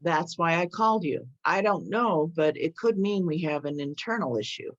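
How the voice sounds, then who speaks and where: A woman playing a courteous, humble police chief with measured authority in a screenplay table read. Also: deep voice, animated. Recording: dull, lo-fi audio.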